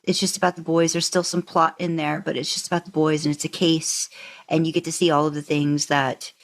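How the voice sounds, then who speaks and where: A woman's voice: low voice, level tone, a little fast. A woman co-hosting a podcast, talking casually and emphatically as an enthusiastic fan. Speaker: podcast co-host.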